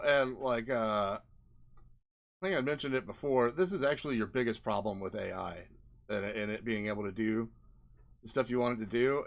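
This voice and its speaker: A man giving casual, unscripted commentary as an indie developer presenting a video, rambling and often tagging on "like" and "right?", with an exasperated edge. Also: mid-range voice. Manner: rambling, frequent "like" and "right?" tags.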